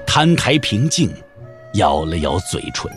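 A man's voice: fairly low pitch, very animated, a little slow, faint music behind. A man narrating a Mandarin audio drama as a scripted story, theatrical and intense.

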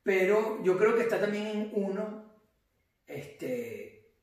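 Higher-voiced Venezuelan man, echoey and distant. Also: big pitch swings, slow pace, a little mellow. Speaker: interview guest. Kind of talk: casual chat. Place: indoor living room. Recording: echoey room, distant from mic.

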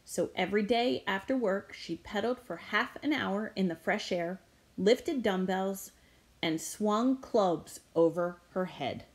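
A middle-aged woman reading a picture book aloud to children in an expressive, deliberate storytelling way. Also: mid-range voice, roomy sound. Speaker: children's read-aloud presenter.

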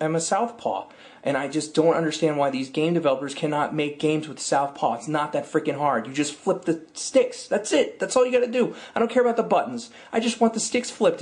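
Man, 30s, slightly hoarse, exasperated vlog rant, webcam, dim room. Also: fairly high pitch. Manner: exasperated complaining. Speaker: tech vlogger.

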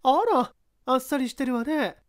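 A woman's voice: high-pitched, fairly even intonation, normal speed, voice pushed up, close to an everyday voice. A woman voicing a pushy, calculating female baseball scout, insistent and needling, in a story reading. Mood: businesslike, needling. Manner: insistent, needling, feminine old-fashioned phrasing.

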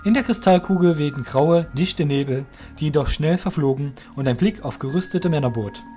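A man's voice: a little high, moderate pace, mellow, faint music behind. Male narrator of a fantasy tale. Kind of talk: scripted audiobook-style narration of a fantasy audio drama.

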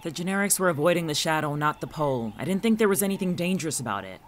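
A man's voice: fairly high pitch, expressive, rapid-fire, slight background noise, natural voice. A man playing a guarded, watchful friend in an audio drama, speaking conversationally with dry, exasperated sarcasm. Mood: wary, teasing. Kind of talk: naturalistic audio drama dialogue.

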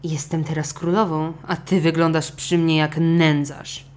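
A woman's voice: sits low, level tone, unhurried, a little mellow, a little hiss or hum. A woman voicing a haughty, demanding fisherman's wife turned queen in a children's storybook, imperious and scornful.

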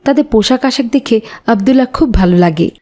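A woman reads scripted story narration. Her delivery is quick, and her voice is mellow and a little high. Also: expressive.

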